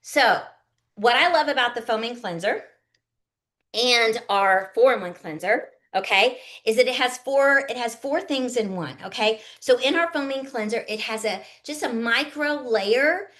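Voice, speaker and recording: A woman's voice: fairly high pitch, a little slow, a bit bright. A woman giving a casual skincare demonstration, chatty and folksy, often checking in with her listeners. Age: early 50s. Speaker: skincare consultant hosting a live online demonstration. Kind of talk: casual instructional chat, product demo.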